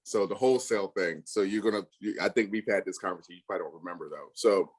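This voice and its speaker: A man's voice: deep voice, fast talker, husky. A man talking casually and bluntly, full of slang and emphasis, acting out past conversations in quotes as he tells stories. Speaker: real estate investor.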